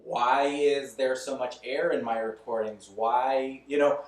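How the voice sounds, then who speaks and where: A man's voice: mid-range voice, fairly even intonation, measured pace. A man in his thirties talking loosely and candidly as a podcast guest, chuckling between thoughts. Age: 30s. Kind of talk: casual interview chat. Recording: roomy echo, mic at a distance.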